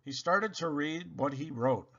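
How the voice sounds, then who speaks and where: A man reading a children's picture book aloud in an expressive, deliberate storytelling style. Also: fairly high pitch, highs cut off. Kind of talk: animated scripted storybook narration for children.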